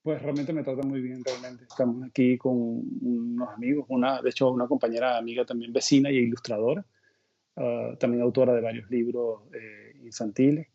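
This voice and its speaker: A middle-aged Venezuelan man talking as an interview guest in a relaxed, meandering way, thinking aloud mid-sentence. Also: mid-range voice, moderate pace, creaky, dull audio. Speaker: guest illustrator. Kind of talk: casual conversational interview. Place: remote call from home abroad.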